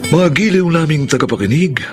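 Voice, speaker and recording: A man's voice: on the high side, animated, brisk. A man announcing and narrating a radio drama in a solemn, theatrical style. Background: loud guitar music.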